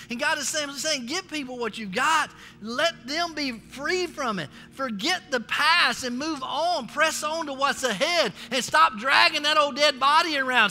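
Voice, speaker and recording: A man's voice: very high voice, pushed and bright. A middle-aged American man preaching a sermon as a pastor, emphatic and exhorting, repeating phrases to drive them home. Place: large church stage, indoors, amplified. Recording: echoey hall reverb, faint music.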